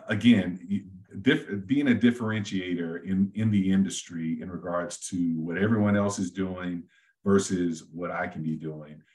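A middle-aged man with a very low, deep voice, the kind people say belongs on radio. He talks in a relaxed, rambling way as a guest, full of fillers and restarts. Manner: easygoing, talks at length with many fillers and restarts. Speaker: real estate agent, guest. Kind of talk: casual podcast interview.

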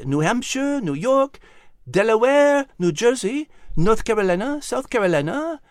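A woman's voice: fairly high pitch, no room sound, clean studio sound. A woman voicing a thoughtful, elegant female animal with a French accent, explaining history in an audiobook story.

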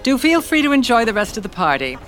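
A woman's voice: a little high, sing-song, quick pace, faint music behind. An actress playing a witty, catty young noblewoman with an arch, dry manner in an audio drama. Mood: dry, teasing.

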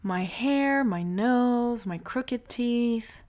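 A woman in her late thirties from Brazil, calmly telling her life story in a reflective monologue. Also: fairly high pitch, drawn-out words. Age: 30s.

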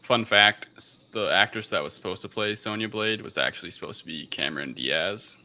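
A man's voice: on the low side, a little fast, sharp tone. A man hosting a podcast, talking casually through a film review in a somewhat hesitant way. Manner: hesitant, unsure of pronunciations. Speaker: podcast host.

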